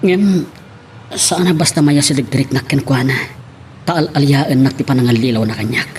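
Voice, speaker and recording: A man plays a resentful young husband in a Filipino audio drama, speaking with an Ilocano accent. His pitch is middling, and a light ambient noise runs under the voice. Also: moderate pace, darkish tone, lightly acted. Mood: troubled, resentful. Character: troubled, resentful young husband in a loveless marriage.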